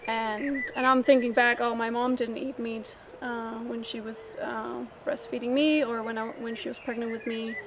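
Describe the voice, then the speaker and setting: Interviewee, woman from Denmark, slightly high voice; casual, halting storytelling; noisy outdoor take. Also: flat delivery. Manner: halting, thinks aloud.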